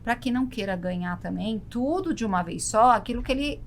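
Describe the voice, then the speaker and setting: A woman's voice: a little high, animated, fairly quick, a little hiss or hum. A Brazilian woman speaking emphatically as an interview guest, repeating key words to drive points home. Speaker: podcast guest, travel business veteran. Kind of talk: conversational interview talk.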